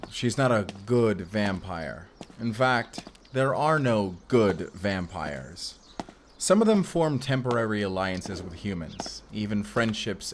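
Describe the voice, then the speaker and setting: A man playing a wry, blunt vampire slayer in an audio roleplay, talking conversationally with halting asides. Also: on the low side, a little hiss or hum. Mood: grim. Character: wry, blunt young male vampire slayer working undercover in a hotel. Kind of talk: cinematic audio roleplay addressed to the listener.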